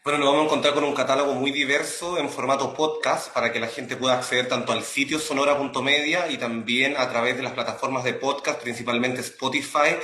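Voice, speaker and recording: A man's voice: mid-range voice, fairly even intonation, brisk, few pauses, sharp tone. A middle-aged man with a Chilean accent explaining his work as an interview guest. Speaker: interview guest, sound producer and academic. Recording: roomy, echoing, faint background noise.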